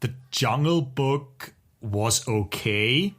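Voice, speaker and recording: A man's voice: mid-range voice, lively intonation, extremely slow, fairly bright tone. A man co-hosting a podcast in casual conversation, with an opinionated, wry way of talking. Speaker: podcast co-host.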